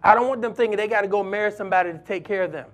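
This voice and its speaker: A man's voice: high voice, rapid-fire, fairly bright tone. A male pastor preaching a sermon, emphatic and exhorting, repeating key phrases for weight.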